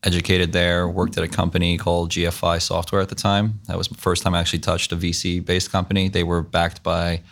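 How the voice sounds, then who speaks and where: A man's voice: low voice, monotone, fairly quick, vocal fry, few pauses, a bit bright. A man raised in Malta, a company CTO who answers podcast questions in clipped, short sentences. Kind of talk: casual interview answers.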